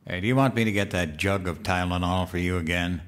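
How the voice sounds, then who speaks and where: An actor playing a childlike, eager, guileless president-elect in a comedic audio drama. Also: male voice, sits low, brisk, close to an everyday voice. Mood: naive, eager. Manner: guileless, simple-minded, earnest talk.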